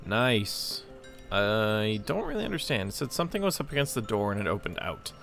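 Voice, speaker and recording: Guy doing casual lets play, thinking out loud, bit gravelly, voice jumps around, soft music under. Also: fairly low pitch, very animated, normal speed. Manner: hesitant, rambling, puzzling aloud. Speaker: host of a gameplay channel. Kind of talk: casual let's play commentary, thinking aloud.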